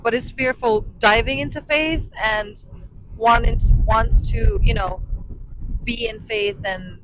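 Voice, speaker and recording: Bright giggly woman host. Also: fairly high pitch, slight background noise, rough recording. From Poland. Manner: giggly, rambling questions. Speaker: podcast host. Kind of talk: casual chat. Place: inside a moving car. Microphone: handheld microphone.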